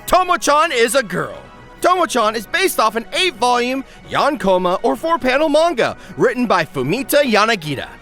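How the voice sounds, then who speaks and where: A man hosting an anime review with energetic, scripted comedic narration, delivered in a hammy, exclamatory manner. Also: very high-pitched, moderate pace, faint music behind. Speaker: YouTube anime reviewer and host.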